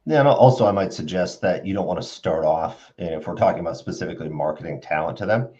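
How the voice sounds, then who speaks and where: Low-voiced man, slightly dull audio. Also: fast talker, darkish tone. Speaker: co-host of a business live stream. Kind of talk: conversational explanation. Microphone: video call.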